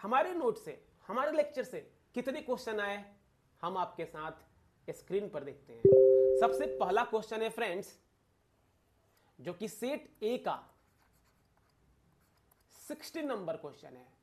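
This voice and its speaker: High-pitched, slow-paced Indian male teacher. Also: big pitch swings, background noise. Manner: emphatic, repeats points, asks rhetorical questions. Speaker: exam coaching teacher. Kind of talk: explanatory lesson reviewing exam questions.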